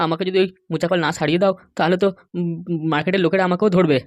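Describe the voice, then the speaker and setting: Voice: mid-range voice, steady tone, racing, no echo, natural voice. An actor voicing an anxious, middle-aged male politician who pleads and complains in a comedy cartoon. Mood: pleading.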